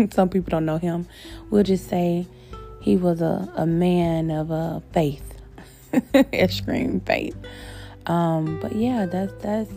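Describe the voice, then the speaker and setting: Mellow-voiced woman podcast host. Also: on the low side, creaky. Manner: warm, encouraging, spiritual, talks to listeners as "my babies". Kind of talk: casual podcast chat. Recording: faint guitar music behind.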